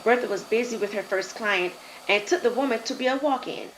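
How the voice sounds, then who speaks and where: A woman narrating a scripted short story in a slow, measured storytelling manner. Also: on the high side, fairly bright tone, a little hiss or hum, poor audio.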